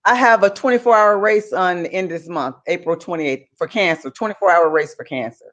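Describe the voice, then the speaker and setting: A woman's voice: lowish voice, brisk. A woman guest, a marathon runner, talking in an earnest, determined way and repeating herself for emphasis. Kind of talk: casual interview talk.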